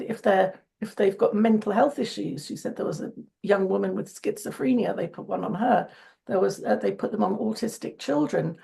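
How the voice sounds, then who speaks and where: A woman speaking as an interview guest in earnest conversation, her voice croaky today and often restarting phrases. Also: mid-range voice, dark tone. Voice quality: gravelly.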